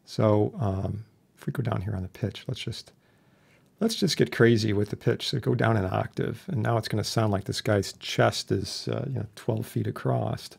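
Male instructor, low-pitched and raspy, informal screen-tutorial delivery with plain explanations. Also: moderate pace. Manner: informal, explanatory with homely analogies. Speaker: instructor demonstrating audio software. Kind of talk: casual software tutorial narration. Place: indoor voice-over for a screen recording.

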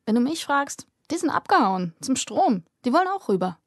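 A woman's voice: high-pitched, big pitch swings, normal speed, no room sound, pitched above the performer's own voice. An eager teenage girl as a woman narrator plays her, sharing secrets in an audio story. Her voice is raised and girlish, conspiratorial and dramatic, recorded close and dry. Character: teenage girl, gossipy, breathless whispering friend.

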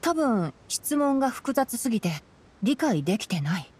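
Calm boyish fox-eared mentor. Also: female voice, on the high side, breathy edge, full character voice. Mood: calm, analytical. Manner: measured, matter-of-fact explaining. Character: young male fox-eared forest ranger, calm, studious mentor. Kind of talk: Japanese anime game voice acting.

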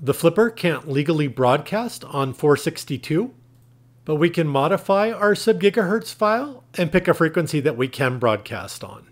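Male tutorial narrator, pitch a little above average, plain methodical step-by-step instruction. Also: very animated, moderate pace. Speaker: tutorial host.

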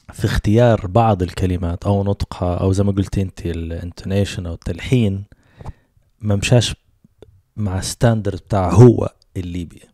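A man in his thirties with a Libyan Arabic accent, hosting a podcast and telling a story in a relaxed way into a handheld mic. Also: fairly low pitch, dry recording, studio quality. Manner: relaxed storytelling with quick asides. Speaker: podcast host. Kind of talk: casual conversation. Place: small home room with soft lighting. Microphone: handheld mic with headphones.

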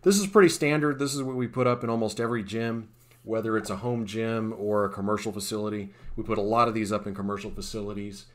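A man's voice: fairly low pitch, steady tone, fast talker. A man, an installer, talking through the steps of a job in a plain, technical voice-over, with frequent uh's and restarts. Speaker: sport court installer. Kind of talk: voice-over explaining a time-lapse install.

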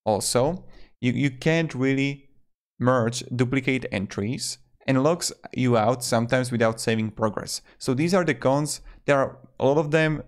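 A man's voice: mid-range voice, a little slow. A man in his twenties narrating a casual review as a video host, with loosely worded phrasing, speaking close to a desktop microphone in a room. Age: 20s. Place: small indoor room. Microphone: desktop microphone close in front of him.

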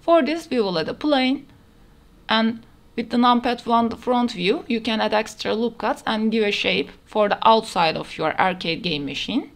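A woman's voice: fairly high pitch, moderate pace. A woman narrating a step-by-step 3D modeling tutorial in plain, instructional phrasing, recorded as a voice-over. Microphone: voice-over over screen recording.

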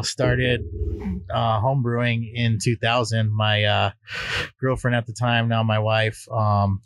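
A man in his forties, a head brewer, answering questions in a soft-spoken, hesitant way with many ums. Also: mid-range voice, smooth, no room sound. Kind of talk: interview answers. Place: brewery floor. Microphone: studio microphone on arm.

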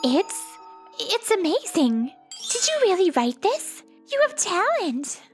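A woman's voice: very high-pitched, sing-song, slow, faint music behind, full character voice. A woman voicing a young actress who works undercover as a lunch lady, in a manga dub. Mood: impressed. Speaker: actress character.

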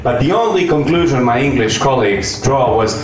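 A man's voice: medium pitch, expressive, fairly quick, slight background noise. A man from Montenegro, doing a wry, chatty stand-up set and talking with the crowd. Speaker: stand-up comedian. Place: comedy club stage. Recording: echoey club from a distant mic. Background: crowd laughter and music.

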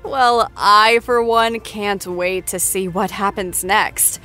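Female after-show host: effusive, excitable, bright tone, unhurried delivery. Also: medium pitch, soft background music. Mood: gushing, playful. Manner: effusive, gushing. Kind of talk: scripted celebrity interview show.

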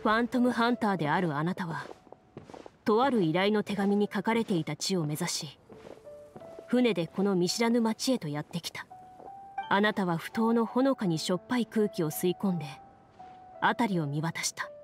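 A composed young woman game master played by an actress in an anime game. Her delivery is calm and formal, with deliberate explanations and storytelling at a middle pitch, over music. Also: close to an everyday voice. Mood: solemn storytelling. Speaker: game master narrating a tabletop game. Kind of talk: anime video game acting, storytelling narration. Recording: music under the voice.

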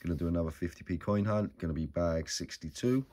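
Very deep, croaky British man. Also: quick pace, a little mellow. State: slightly croaky from a sore throat. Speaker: host of a coin hunting channel. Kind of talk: casual hobby vlog commentary. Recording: noisy home recording with crinkling bag sounds.

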